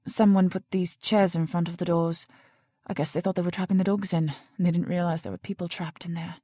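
A woman's voice: fairly low pitch, steady tone, fairly quick, a little mellow, husky, no echo. An actress in an audio drama playing an indignant, strong-willed woman who works at a research facility.

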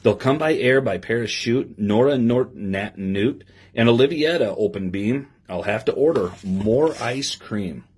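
A middle-aged man reading aloud to children in an expressive sing-song way, with simple asides to his listeners. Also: medium pitch, moderate pace. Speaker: police lieutenant reading to children. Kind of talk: read-aloud story time with asides to young listeners. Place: small office, indoors. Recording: clear background noise with clicks.

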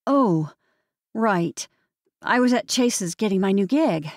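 A woman's voice: mid-range voice, expressive, slow pace, a little mellow, no room sound, studio quality. A woman narrator voicing a defensive young woman arguing with her sister.

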